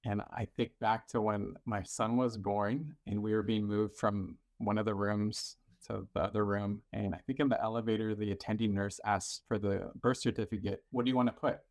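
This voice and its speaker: A man with a low voice speaks thoughtfully and a little hesitantly, sharing reflections in a conversation. The sound is dry and close, with no room around it. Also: level tone, moderate pace, hardly any pauses, darkish tone, no echo. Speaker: conversation partner.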